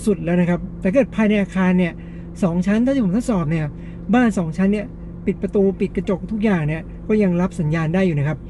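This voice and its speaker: A man's voice: sits high, fast talker, dark tone, slight background noise. A man hosting a casual gadget test, explaining things step by step to viewers in a polite way. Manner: explanatory, frequent polite particles. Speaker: gadget review host.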